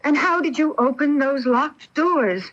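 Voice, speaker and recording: On a dull old radio recording, a curious young woman settling into a new place. Her voice is fairly high and her delivery very slow. She hesitates and wonders aloud in mystery-drama style. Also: a bit bright. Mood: startled, wary.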